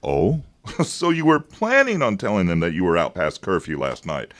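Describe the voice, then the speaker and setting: An actor in a radio drama playing an evasive, persuasive government agent, smooth-talking and patronizing, with hesitations. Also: male voice, low voice, huge pitch swings, normal speed, fairly bright tone, no echo, heavily acted. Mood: insistent, smug.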